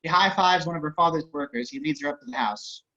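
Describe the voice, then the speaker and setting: A man's voice: a little high, quick pace, pushed and bright. A man narrating at a table read, reading out screenplay stage directions over a video call. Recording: slightly dull video-call audio.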